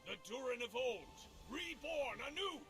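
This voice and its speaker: A man's voice: very high voice, lively intonation, measured pace, music in the background. A male actor playing a proud, regal dwarf king in a fantasy video game, declaiming with authority. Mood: defiant, proud.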